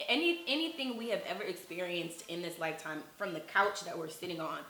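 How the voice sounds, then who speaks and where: A woman's voice: fairly low pitch, expressive, brisk, bright, forceful tone, echoey room, rough recording. A woman co-hosting a casual talk show, speaking in a reflective, encouraging way. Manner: reflective, encouraging, self-care advice tone. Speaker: podcast co-host. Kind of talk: casual chat.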